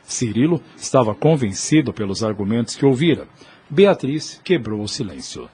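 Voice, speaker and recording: A man with a husky voice reading scripted narration for an audio drama. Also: medium pitch, big pitch swings, normal speed, a little mellow.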